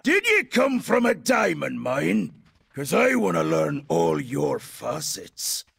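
A fiery, blustering dwarf from a fantasy video game, speaks with a thick Scottish accent. The voice is forceful and full of gravel, pitched high for a man. It is delivered slowly and heartily. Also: wildly swooping pitch, heavily acted. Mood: flirtatious. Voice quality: gravelly, raspy. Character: gruff, fiery, boisterous male Dark Iron dwarf. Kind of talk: video game character voice lines.

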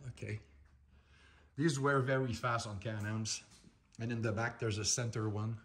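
A man's voice: fairly low pitch, fairly even intonation, unhurried, a bit bright. Chatty man in a garage workshop. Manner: chatty, rambling, with many restarts and "uh" fillers. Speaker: shop vlog host. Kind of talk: casual how-to talk. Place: indoor garage workshop with ATVs.

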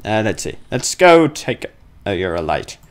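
A man's voice: on the low side, sing-song, normal speed. A man giving casual, goofy let's play commentary on a horror game, talking to himself as he plays.

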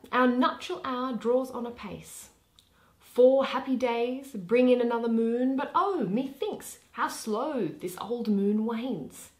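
Woman reading as a stately, unhurried duke. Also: on the high side, echoey room. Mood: yearning. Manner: commanding, measured, declamatory. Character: stately duke, eager bridegroom and stern judge. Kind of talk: Shakespearean verse reading.

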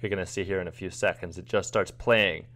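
Casual male screen-recording narrator, a video editor with a lowish voice, explaining things plainly. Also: fairly even intonation, brisk, fairly bright tone.

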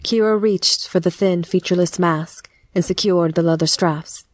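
A woman narrating a scripted horror story in a measured, dramatic storytelling manner. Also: fairly low pitch, steady tone, no echo.

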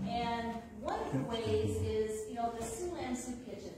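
A woman's voice: on the high side, unhurried, noisy background. A middle-aged woman making an unscripted announcement in a church, speaking in an earnest, chatty way without a microphone. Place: large church sanctuary. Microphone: unmiked, picked up from far off. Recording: echoey sanctuary from far off.

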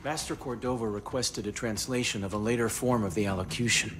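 A man's voice: lowish voice, fairly even intonation, fairly quick, over music, close to an everyday voice. A man playing a dutiful, hesitant junior monk in a video game, speaking formally and earnestly. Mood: doubtful, deferential. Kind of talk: video game character dialogue. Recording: noisy room ambience.

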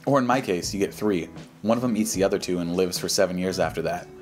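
Male YouTube host, American, low-pitched, casual and dismissive with slang, over background music. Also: fast, darkish tone. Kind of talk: casual reaction commentary, reading posts aloud.

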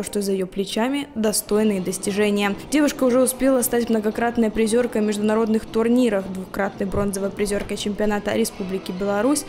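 A woman's voice: medium pitch, warm tone. A woman reporter reading a scripted television voice-over. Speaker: news reporter.

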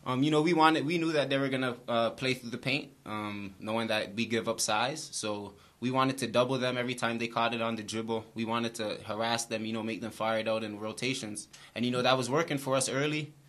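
A young man, a college basketball player, answering press questions after a game in a steady, repetitive way, speaking into a table mic in a small brick-walled room. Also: mid-range voice, a little fast, hardly any pauses. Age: young adult. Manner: flat, steady, repeats phrases. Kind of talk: postgame press conference answers. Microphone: table mic in front.

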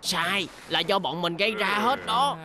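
A woman's voice: mid-range voice, a little slow, noisy background, lightly acted. A woman voicing a cheeky young race car in a dubbed children's cartoon, talking in a bouncy, eager way. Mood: glum. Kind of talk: cartoon dubbing.

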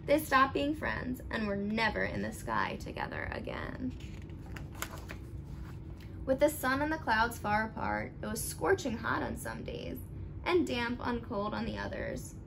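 A young woman reading a picture book aloud expressively, with animated, deliberate emphasis for young listeners. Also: fairly high pitch. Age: young adult. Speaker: storybook reader. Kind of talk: expressive children's read-aloud. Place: living room at home. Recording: clearly noisy home recording.

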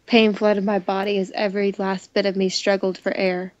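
A woman's voice: medium pitch, flat delivery, moderate pace, no room sound, highs cut off. A woman playing and reading a visual novel aloud, mixing dramatic narration with casual commentary.

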